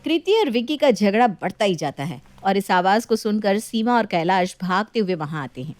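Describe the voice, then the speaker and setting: A woman's voice: medium pitch, sing-song, normal speed, a little mellow, dry recording. A woman reading out scripted story narration as a storyteller.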